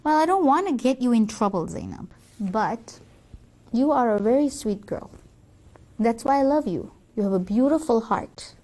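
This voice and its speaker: Woman playing a gentle but firm storybook mother. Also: a little high, lively intonation. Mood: tender, reasoning. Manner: measured, coaxing explanations. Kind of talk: acted children's story dialogue.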